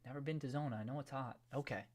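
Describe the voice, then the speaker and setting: A man's voice: mid-range voice, racing, a little mellow. A man, hosting a livestream and chatting loosely with viewers, with many restarts and trailing phrases. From New England. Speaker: livestream host. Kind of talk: casual livestream chat reading viewer comments.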